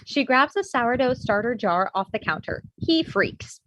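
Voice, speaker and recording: A woman's voice: fairly high pitch, lively intonation, unhurried, a bit bright, poor audio. A woman reading screenplay stage directions as scripted narration for a table read. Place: indoors, remote virtual table read.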